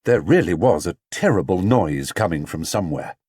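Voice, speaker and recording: A man narrating a children's storybook in an expressive, theatrical way. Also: low-pitched, moderate pace, slightly rough, dry recording, clean studio sound. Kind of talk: animated children's audiobook narration.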